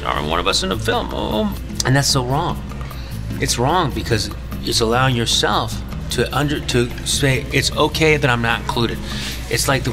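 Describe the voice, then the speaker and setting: A middle-aged man, a writer and comedian, speaking earnestly and emphatically in an interview. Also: mid-range voice. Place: wood-paneled room. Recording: music playing underneath.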